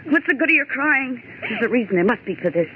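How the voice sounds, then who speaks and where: A woman's voice: high voice, sing-song, quick pace. An actress playing a bossy, skeptical but rattled young woman office worker in 1940s radio horror style, scolding and theatrical. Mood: agitated, defiant. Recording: old radio recording, narrow tinny band, hiss.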